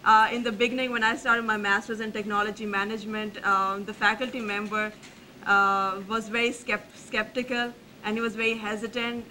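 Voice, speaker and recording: A woman's voice: medium pitch, sharp tone, slight background noise, low-quality recording. A young woman from Pakistan, a graduate student speaking earnestly on a university panel, often restarting her sentences. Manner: earnest, halting with many restarts. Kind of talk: panel talk.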